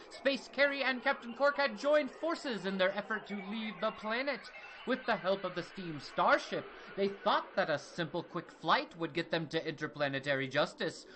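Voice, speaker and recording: A woman's voice: medium pitch, a bit bright, slight background noise, poor audio. A woman playing a grandiose, theatrical narrator of a pulpy space adventure audio serial. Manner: dramatic, theatrical announcer delivery. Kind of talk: scripted audio drama narration.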